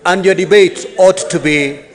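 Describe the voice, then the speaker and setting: A man's voice: sits high, steady tone, a little slow. A man presiding over a legislative session, speaking formally and firmly in procedural interventions. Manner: firm, repetitive calls to order.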